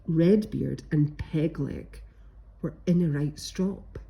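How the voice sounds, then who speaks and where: A woman narrating a rhyming picture book in an expressive, measured and dramatic storytelling style. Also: low-pitched, vocal fry.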